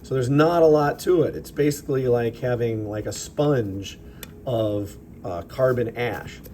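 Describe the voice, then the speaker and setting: A man with a slightly raspy voice explains a lab demonstration in an easygoing, thinking-aloud teacher's manner. His pitch sits in a middling range for a man. Faint fan noise hums behind him in a school lab. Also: measured pace, a little mellow. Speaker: chemistry teacher. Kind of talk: informal lab demonstration narration. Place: school chemistry lab with vents. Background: ventilation fans running.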